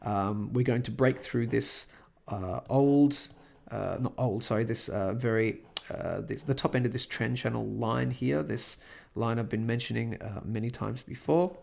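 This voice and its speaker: A man with a croaky voice from a cold, talking casually as the host of a market commentary and chart walkthrough. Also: mid-range voice, unhurried, a little mellow. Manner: rambling, lots of fillers and restarts. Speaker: host of a crypto price analysis channel.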